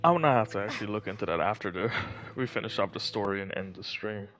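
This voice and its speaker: A man's voice: fairly low pitch, fast, fairly bright tone. A man chatting casually as he hosts a video game livestream.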